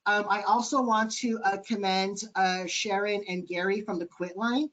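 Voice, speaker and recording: A man's voice: high voice, fairly even intonation, normal speed, fairly bright tone, poor audio. Man, light voice, earnest, filler-heavy, webinar panelist, video call. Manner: earnest, many ums. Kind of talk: casual webinar answers.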